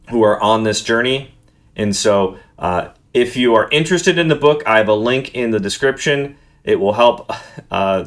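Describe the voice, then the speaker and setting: A man's voice: mid-range voice, fairly bright tone. A man talking through a book review in an earnest, thoughtful way, often restarting his words. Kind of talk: unscripted book review monologue.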